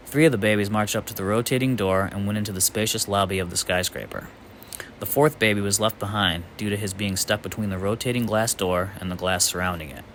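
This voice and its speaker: A man reading absurd comic literary snippets aloud in a deadpan storytelling manner. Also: lowish voice, a little hiss or hum. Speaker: amateur reader.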